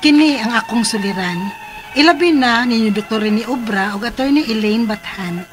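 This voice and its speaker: A woman's voice: a little high, moderate pace, soft background music, lightly acted. An actress playing a worried young married woman, a farmer's daughter, in a radio drama with a Cebuano Filipino accent. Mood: earnest, narrating. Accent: Filipino, Cebuano-speaking. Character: worried 32-year-old married farmer's daughter telling her story.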